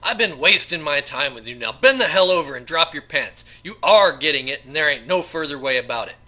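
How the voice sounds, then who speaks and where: A man's voice: a little high, lively intonation, a little fast, bright, forceful tone, pitched above the performer's own voice, full character voice. A man voicing a demented convict assassin in an audiobook, crude, bragging and taunting like a predatory bully. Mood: threatening.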